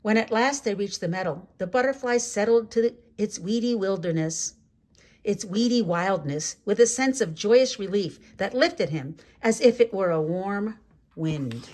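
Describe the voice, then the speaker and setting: Female storybook reader, mid-pitched, expressive and measured, with dramatic phrasing for a children's tale. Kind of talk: expressive read-aloud children's story narration.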